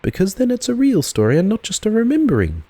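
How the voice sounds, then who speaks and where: A man's voice: high voice, huge pitch swings, fast, warm tone, no room sound, pitched above the performer's own voice, heavily acted. A man voicing a small, innocent, inquisitive young boy in a storybook, with a childlike, questioning manner. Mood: innocent, wondering. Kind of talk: storybook character voice.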